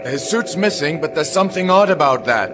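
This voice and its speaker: An actor playing a grave, troubled moon base captain in a radio drama, with a deliberate, commanding manner. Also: male voice, fairly high pitch, expressive, very noisy, lightly acted. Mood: businesslike, puzzled.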